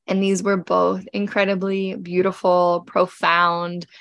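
A woman of forty speaks slowly and tenderly, with a gravelly, creaky edge. She is congested from a cold, and the sound is dry and close. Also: on the low side, monotone, no room sound. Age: 40s. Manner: gentle and heartfelt, with frequent pauses and fillers. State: congested, getting over a cold. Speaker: podcast host. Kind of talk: personal reflective podcast talk.

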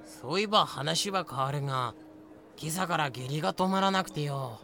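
Man acting a sharp, gravelly grumbling young captive. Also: on the high side, expressive, normal speed, soft background music. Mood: grumbling, comic. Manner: slangy, griping. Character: crude, grumbling young male captive, comic. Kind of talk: comedic fan audio drama acting.

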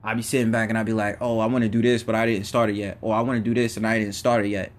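A man's voice: lowish voice, level tone, rapid-fire. A man hosting his own podcast, giving a casual, preachy motivational monologue in everyday slang. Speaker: podcast host.

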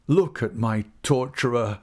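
A man voicing a distraught, self-loathing older gentleman in a story, impassioned and lamenting, with drawn-out words. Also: mid-range voice, voice pushed up, lightly acted. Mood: despairing.

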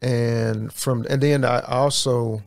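A man from Houston, Texas, guesting on a podcast and telling about his work in a hesitant way, with frequent pauses and restarts. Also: mid-range voice, steady tone, gravelly, dry recording, clean studio sound. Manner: hesitant, many fillers and restarts. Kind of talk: interview answers.

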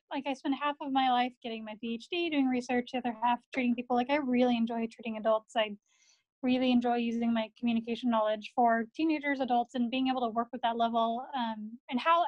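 A woman's voice: on the high side, no echo. A woman talking casually as a therapist on a podcast, explaining things conversationally on a slightly dull lo-fi recording.

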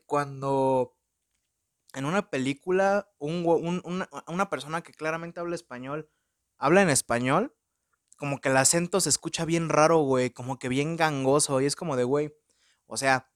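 A man's voice: on the high side, normal speed, clean tone, dry recording, studio quality. A young Mexican man with a Mexican accent, casually reviewing a movie at home in slangy, profane talk full of filler words. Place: room at home in front of a window.

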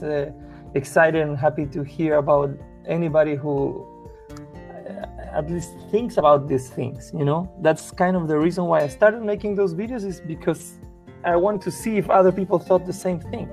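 A man's voice: a little high, steady tone, moderate pace, darkish tone, faint music behind. A young man from Chile with a Chilean Spanish accent, a Spanish native speaker, talking as a musician guest in a casual interview, hesitant and full of restarts. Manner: hesitant, with many restarts and fillers.

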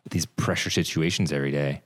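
A man's voice: rumbling low, fairly even intonation, brisk, fairly bright tone. A man hosting a casual interview, talking in a laid-back, rambling way with frequent stammers and restarts.

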